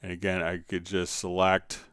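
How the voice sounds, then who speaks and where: Low, smooth-voiced man teaching live. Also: monotone, moderate pace, a bit bright, dry recording, clean studio sound. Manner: conversational, explains step by step. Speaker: livestream host and software instructor. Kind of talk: casual live tutorial, answering viewer questions.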